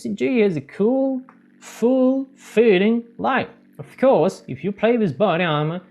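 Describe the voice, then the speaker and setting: A man hosting a gaming channel, recapping a game's story in halting non-native English and breaking off for joking asides. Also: high voice, darkish tone. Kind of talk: game story recap with commentary.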